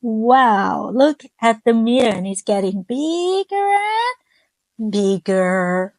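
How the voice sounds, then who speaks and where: A woman reading a children's picture book aloud, gently stopping to ask her young listeners questions. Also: on the high side, lively intonation, slow pace, dry recording. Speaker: children's storyteller.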